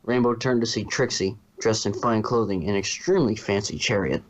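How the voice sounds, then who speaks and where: A man narrates a piece of fiction, reading it aloud. His voice sits fairly low. The home recording is somewhat dull and muffled. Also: fairly quick. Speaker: fan fiction reader. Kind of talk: story narration, read aloud.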